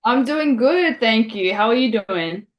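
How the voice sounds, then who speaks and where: A young woman from Australia answering interview questions in a relaxed, chatty way, with many fillers. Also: a little high, a little slow. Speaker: interview guest.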